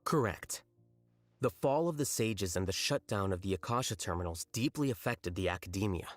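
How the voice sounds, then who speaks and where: Cool rational scholar for a game: lowish voice, deadpan, blunt, measured, matter-of-fact. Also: male voice, dry recording. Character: aloof, blunt young male scholar, coolly rational. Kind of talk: video game voice acting. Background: music.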